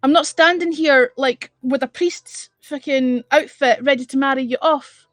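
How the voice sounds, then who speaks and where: A woman's voice: high-pitched, measured pace, a bit bright, no echo. A woman from Edinburgh with a Scottish accent, hosting a casual live stream and chatting bluntly and exasperatedly with viewers, swearing freely. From Scotland. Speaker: livestream host.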